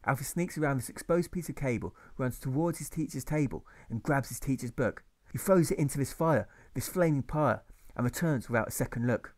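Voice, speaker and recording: A man reading his own rhyming novel aloud, in a measured, rhythmic narration. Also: mid-range voice, darkish tone.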